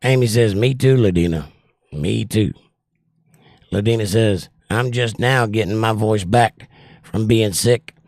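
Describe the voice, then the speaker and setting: A man's voice: lowish voice, unhurried, a little mellow, no room sound, clean studio sound. Older man hosting a livestream chat, worn voice. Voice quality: voice worn from years of trouble. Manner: reads viewer comments aloud and answers them. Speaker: livestream host reading chat.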